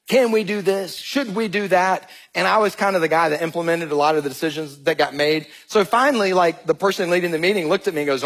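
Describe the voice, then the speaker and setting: A man from Georgia in his thirties with a Southern accent, a pastor preaching a sermon and telling animated, joking stories to the congregation. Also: sits high, husky. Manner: animated, jokey storytelling, addresses crowd as y'all. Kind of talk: sermon with storytelling.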